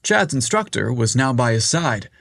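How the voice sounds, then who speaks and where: A man reading a story aloud as narrator, in a measured, unhurried way. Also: medium pitch, very animated, vocal fry, a bit bright, dry recording, clean studio sound.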